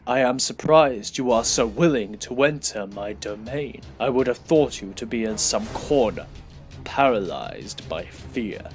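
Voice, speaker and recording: A man voicing a menacing masked villain in an audio drama, slow, theatrical and taunting, savoring fear. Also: medium pitch, animated, faint music behind, pitched below the performer's own voice. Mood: taunting menace.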